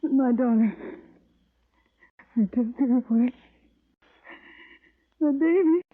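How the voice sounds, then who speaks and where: A weak, distraught homesteader woman, gasping and repeating herself very slowly. Her voice is husky with anguish. It is a thin, phone-like recording of an old radio western. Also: high voice. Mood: grief-stricken. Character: distraught, dying homesteader mother of the frontier.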